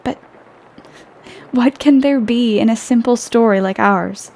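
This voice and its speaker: Actress doing earnest young woman writer in an audio drama, outspoken, highish voice w/ some gravel. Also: a little slow, darkish tone. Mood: wistful, lonely. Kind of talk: audio play acting.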